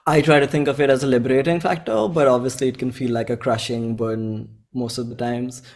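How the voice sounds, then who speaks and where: A man in his twenties from India with an Indian accent, talking informally and earnestly as an interview guest, in halting phrases. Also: medium pitch, fairly even intonation, a little mellow. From Bhopal, India. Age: 20s. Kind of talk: casual chat.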